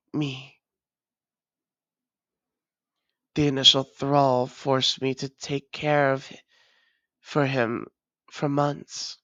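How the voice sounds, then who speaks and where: An actor playing a weary, guarded vampire and long-lost lover, speaking in halting, formal phrases in an intimate roleplay audio drama. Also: medium pitch, dry recording, lightly acted. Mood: somber, haunted. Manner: measured, halting, formal old-fashioned phrasing.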